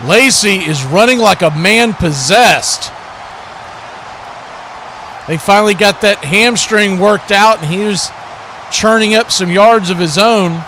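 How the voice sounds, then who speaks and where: A man's voice: high voice, slow pace, long pauses, crowd noise. A man giving live play-by-play football commentary as the announcer, in short clipped phrases. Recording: clear steady background noise.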